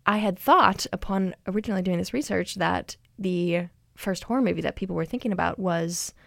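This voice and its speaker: A young woman co-hosting a podcast, walking through her research in a rambling, hesitant way with many ums and quick laughs. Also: fairly low pitch, vocal fry, no room sound, clean studio sound. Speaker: podcast co-host presenting research. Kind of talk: casual chat.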